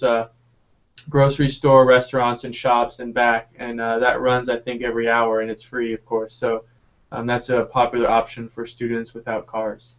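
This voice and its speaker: A man's voice: mid-range voice, highs cut off. A man in his twenties from North Carolina, a graduate student on a live Q&A panel, explaining steadily with many "uh" fillers.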